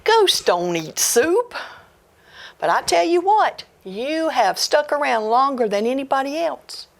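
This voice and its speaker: A woman storyteller voicing a male ghost with a fiery beard who calls out in slow, drawn-out words. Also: high-pitched, very animated, vocal fry, voice pushed up, full character voice. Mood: bargaining.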